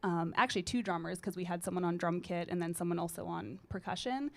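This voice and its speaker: A woman in her thirties talks easily into a studio mic, her voice sitting low with a gritty grain to it. She rambles comfortably, with lots of little ums and uhs, like a musician relaxed in conversation. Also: quick pace, gravelly. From British Columbia, Canada. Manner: relaxed, rambling, with frequent fillers. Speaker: singer-songwriter guest. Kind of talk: casual interview chat. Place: college radio studio. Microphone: studio microphone.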